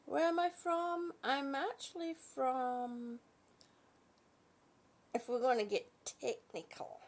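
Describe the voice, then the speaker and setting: A British woman hosting a casual live chat from her bed, greeting viewers warmly as honey and sweetie and sometimes dropping to a soft whisper. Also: sits high, steady tone. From British, born in Singapore. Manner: slow, soft, trailing off into whispers. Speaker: livestream host chatting with viewers. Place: at home in bed.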